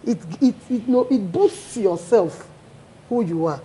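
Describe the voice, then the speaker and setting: A woman's voice: medium pitch, expressive, measured pace, mellow, rough recording. A Nigerian woman speaking as a studio guest, arguing her point earnestly and telling anecdotes. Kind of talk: studio discussion.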